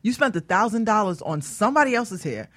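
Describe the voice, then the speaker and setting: A woman's voice: mid-range voice, very animated, a little fast, a bit bright, no echo. A woman talking casually on a talk show in an emphatic, colloquial way, often checking that listeners follow. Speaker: guest hair stylist.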